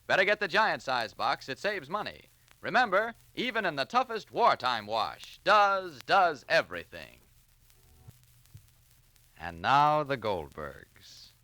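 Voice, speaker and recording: Bright, animated 1940s American radio announcer. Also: male voice, medium pitch, low-quality recording.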